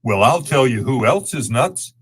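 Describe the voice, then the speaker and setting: A man's voice: medium pitch, animated, normal speed, a bit bright, husky. A man reading as a scripted announcer in the style of old-time radio ads and sign-offs.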